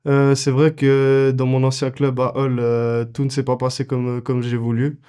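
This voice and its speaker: A man's voice: medium pitch, completely flat delivery, moderate pace, warm tone, clear, resonant tone. A man in his twenties, a goalkeeper answering interview questions casually, with many fillers and restarts. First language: French. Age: 20s.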